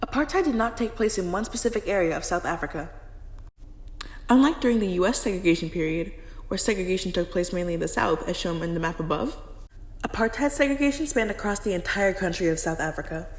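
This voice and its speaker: A woman narrates from an educational script at a quick pace. Her voice is slightly low, and the room adds a long, echoing reverb. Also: expressive.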